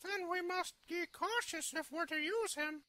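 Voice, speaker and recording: A woman voicing a cheeky, scheming puppet sidekick in a drawn-out cartoonish manner for a fan-dub drama. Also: extremely high pitch, sharp tone, full character voice.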